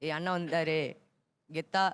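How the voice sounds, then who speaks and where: A man's voice: a little high, level tone, a little slow, fairly bright tone, clean tone. A young man, explaining things informally as a talk show guest. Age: young adult. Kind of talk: colloquial explaining to host.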